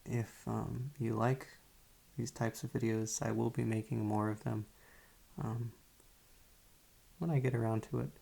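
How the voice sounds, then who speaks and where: A man who makes ASMR videos, giving a soft-spoken, casual update to his viewers in a low-key, hesitant way. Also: little pitch movement, unhurried, gravelly, pauses often, slightly rough.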